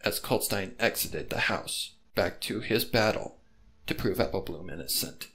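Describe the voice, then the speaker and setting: Bright, low-pitched male story narrator. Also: moderate pace. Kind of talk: scripted fan fiction narration.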